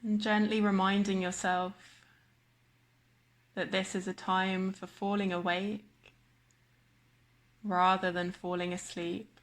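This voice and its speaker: A woman guiding a body scan meditation in a slow, measured manner with long pauses. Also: mid-range voice, little pitch movement. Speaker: meditation guide.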